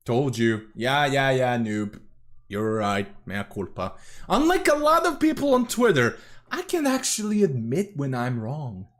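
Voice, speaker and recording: A man's voice: mid-range voice, sing-song, a little slow. A young man from Serbia, rambling through casual reaction commentary as a streamer, swearing often and correcting himself.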